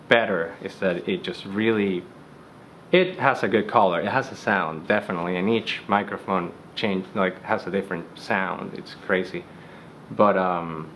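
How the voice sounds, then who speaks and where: Young male studio engineer, deep bass-heavy voice, casual tutorial delivery with fillers, low pitch. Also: a bit bright, slight background noise. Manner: loose, conversational explaining with many fillers. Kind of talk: gear tutorial. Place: recording studio.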